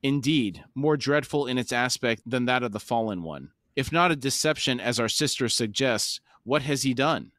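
A man's voice: medium pitch, moderate pace, few pauses, a bit bright, no echo, studio quality, close to an everyday voice. Man reading as a solemn troubled elder god.

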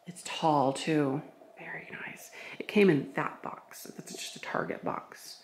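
A man's voice: on the high side, a little slow, vocal fry, whispered. A young man, a vintage shop owner, chatting casually and rambling to a handheld camera indoors. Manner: chatty and rambling, many "like"s and restarts. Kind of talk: casual vlog chat.

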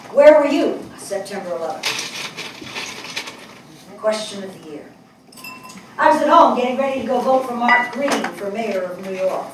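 A woman's voice: mid-range voice, lightly acted. Older lady acting a thoughtful wry journalist woman, stage reading, mic far off, bit of noise. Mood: wry, sober. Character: reflective, wry middle-aged New York woman journalist. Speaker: stage actress in a dramatic reading. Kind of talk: theatrical dramatic monologue read on stage. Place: indoor small theatre stage. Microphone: far camera. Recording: echoey theatre, distant mic, faint noise.

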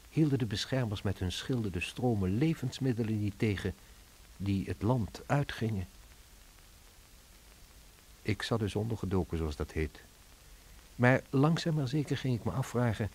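A man's voice: fairly low pitch, a little mellow, slightly rough, rough recording. An actor with a Dutch accent playing a reflective man in hiding who narrates a wartime radio play on an old recording. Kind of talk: scripted radio drama narration.

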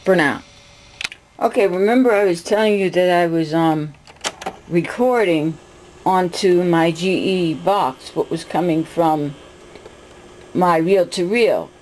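A woman casually narrating a home demonstration of audio gear, haltingly searching for her words. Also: lowish voice, slight background noise. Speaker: vlogger and audio gear hobbyist. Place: indoors, room with tape decks. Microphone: handheld camera, speaker off screen. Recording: home camera audio with loud music.

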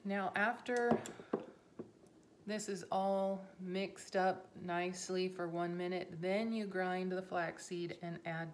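A woman's voice: on the low side, noisy background. A woman in her fifties, a health coach, casually walking through a recipe in her home kitchen while she works, speaking into a camera on the counter. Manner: relaxed, unhurried, talks while working. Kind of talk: casual cooking demonstration. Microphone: phone or camera on the counter.

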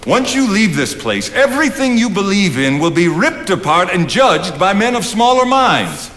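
A lofty older mentor of the 1800s on stage. His highish voice rises and falls widely as he urges and orates, ringing through a theatre. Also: big pitch swings, moderate pace, fairly bright tone. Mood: emphatic, urging. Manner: oratorical, emphatic. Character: older philosophical mentor, lofty, persuasive 19th-century gentleman. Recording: live theatre, reverberant, faint noise.

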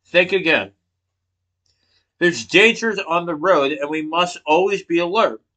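A man's voice: on the high side, expressive, measured pace, bright, forceful tone. A man in his thirties giving a persuasive class speech into a laptop webcam in a small room, asking rhetorical questions. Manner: rhetorical questions, emphatic hand gestures. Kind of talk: persuasive speech, partly read from notes. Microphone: laptop webcam from below.

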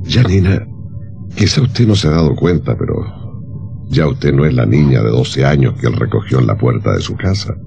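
An actor playing a shrewd, tactful impresario in an old radio drama, with a measured, avuncular, sometimes hesitant manner. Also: male voice, extremely low pitch, sing-song, gravelly, warm tone, dull audio, soft background music, exaggerated voice. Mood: confiding. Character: shrewd, tactful businessman, male impresario of a musician.

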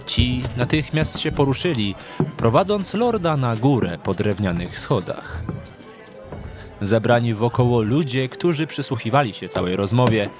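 A man's voice: medium pitch, lively intonation, unhurried. Male narrator over atmospheric music. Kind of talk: scripted dramatic story narration.

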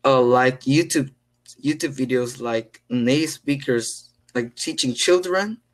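A teenage boy with a Korean accent, speaking careful, halting English full of fillers. He is on a video call from a small room at home, picked up by his laptop mic. His voice sits at a middle pitch for a young man. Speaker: English learner. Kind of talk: casual conversation practice.

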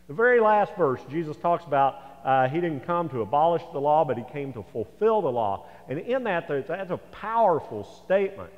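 Man in his sixties, American accent, preacher, highish pitch, swooping intonation, conversational sermon, church sanctuary. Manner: folksy, rhetorical asides to the congregation. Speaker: pastor. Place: large church sanctuary, indoors. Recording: echoey church hall, slightly dull sound.

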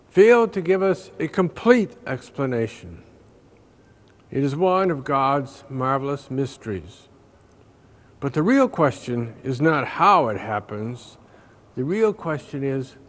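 An older man, a priest, reading a scripted reflection aloud from a book in a slow, measured way inside a large stone church. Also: medium pitch.

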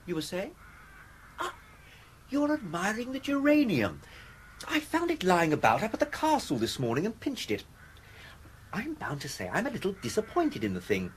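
An actor playing a suave, whimsical English gentleman in a radio play, with an upper-class English accent and languid, mock-grand eloquence. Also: high voice, wildly swooping pitch, moderate pace, long pauses, a little hiss or hum, low-quality recording. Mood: playful, wooing. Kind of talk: 1980s radio drama acting.

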